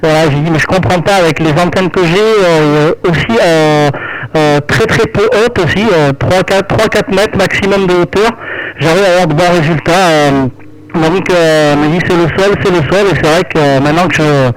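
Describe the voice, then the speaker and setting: Man, slightly high voice; relaxed ham radio chat, friendly and rambling. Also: moderate pace, rough recording. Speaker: amateur radio operator. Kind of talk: casual ham radio conversation. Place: at home at night.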